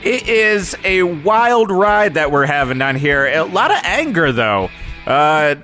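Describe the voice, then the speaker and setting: A man's voice: on the high side, very animated, slow pace, pushed and bright, exaggerated voice. A man playing a folksy, eager radio reporter, rambling through huckster patter on a parody live remote from a car dealership. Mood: rowdy, folksy. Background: music with guitar behind the voice.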